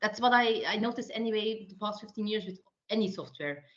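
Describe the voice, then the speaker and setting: A woman's voice: medium pitch, animated, fairly quick, a bit bright, highs cut off. A woman born in Belgium, a project manager and consultant, talking at length in a casual interview with many restarts and fillers. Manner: chatty, many restarts and fillers, talks in long runs.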